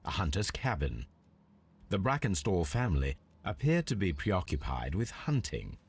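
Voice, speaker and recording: A composed Victorian detective with a low British voice that moves expressively as he reasons aloud. He is precise and formal, in a video game style, with faint noise behind him. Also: sing-song. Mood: measured, analytical. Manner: measured, analytical, precise, formal. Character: shrewd, composed male Victorian English detective. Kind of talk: video game acting, observations spoken to himself.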